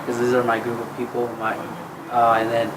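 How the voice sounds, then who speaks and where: A young man from Mexico, a student answering interview questions in a halting way, full of "like" and restarts. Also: mid-range voice, completely flat delivery, noisy background. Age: young adult.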